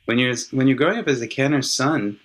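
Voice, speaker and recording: A man born in California whose first language is Hebrew, speaking as an interview guest in a reflective, measured way. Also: medium pitch. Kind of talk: casual interview.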